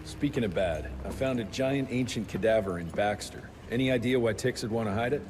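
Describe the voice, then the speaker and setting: An actor playing a gruff Wild West agent in a video game, blunt and folksy, with an American accent. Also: male voice, lowish voice, a little fast, noisy background, lightly acted. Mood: curt. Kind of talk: video game cutscene acting. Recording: music behind the voice.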